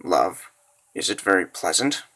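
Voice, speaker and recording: A man voicing a gentle, thoughtful robot companion in a comic dub, speaking in a measured, formal, musing way. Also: low-pitched, animated, husky, voice pushed down, full character voice. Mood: curious, wondering.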